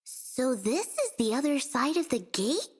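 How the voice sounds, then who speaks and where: A woman's voice: high voice, very animated, a little slow, slightly rough, full character voice. A woman playing a naive, childlike young girl in a video game, casual, innocent and questioning. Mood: puzzled, doubtful. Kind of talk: anime-style video game acting.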